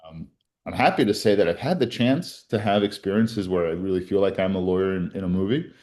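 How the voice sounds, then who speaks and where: A man's voice: low voice, brisk, a little mellow. A man in his forties who grew up in Colombia, a criminal defense attorney telling his story in an earnest, reflective way with frequent restarts. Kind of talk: interview storytelling.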